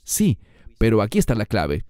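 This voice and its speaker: A man's voice: lowish voice, huge pitch swings, moderate pace, darkish tone, dry recording. A man preaching a sermon with emphatic stress, his voice climbing and dropping to press each point.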